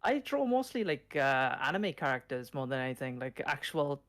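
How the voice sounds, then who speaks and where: A soft-spoken man with a Welsh accent, shy and a little hesitant, chatting casually as a podcast guest. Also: medium pitch, big pitch swings, moderate pace. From Wales. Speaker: podcast guest, video game challenge runner. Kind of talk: casual interview chat.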